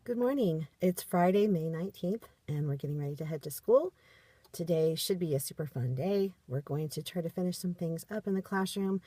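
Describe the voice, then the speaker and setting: Young woman teacher, casual vlog delivery, slightly hoarse, chatty and upbeat, lower pitch. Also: dark tone. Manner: chatty, upbeat, rambling with fillers. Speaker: first grade teacher, vlogger. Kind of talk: casual vlog chat to camera.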